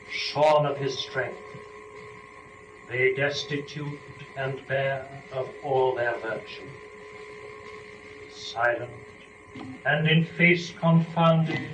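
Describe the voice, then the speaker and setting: A man narrating epic poetry as a dramatic reading, solemn and measured, with declamatory phrasing and weighty pauses. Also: fairly high pitch, a bit bright. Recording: echoey room, distant microphone, clear noise.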